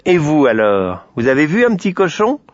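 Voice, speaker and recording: A man voicing a gruff, impatient father who runs a café in a storybook tale, brusque and irritable. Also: on the high side, huge pitch swings, normal speed, dry recording, lo-fi sound, voice pushed up.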